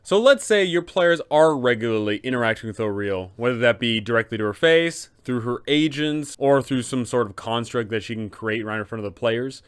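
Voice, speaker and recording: A man with a clean, smooth voice at a middle pitch presents advice for tabletop game masters. His delivery is scripted and emphatic, built on deliberate phrases and rhetorical questions. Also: animated. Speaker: host, game master advisor. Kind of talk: scripted explainer on running a tabletop campaign.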